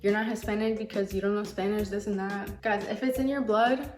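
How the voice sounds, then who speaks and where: A woman's voice: medium pitch, fairly even intonation, fairly quick. A woman from the United States chatting in a rambling way while she cooks in her home kitchen. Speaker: cooking vlogger. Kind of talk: casual cooking vlog chat. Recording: echoey kitchen, distant mic, soft music.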